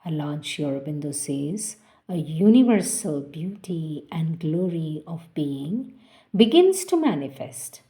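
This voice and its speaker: A woman reads a spiritual text aloud at a very slow, unhurried pace. Her voice is mellow and fairly low. She is measured and reverent, and her phrases fade softly at their ends. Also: sing-song. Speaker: devotional reader. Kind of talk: slow devotional reading.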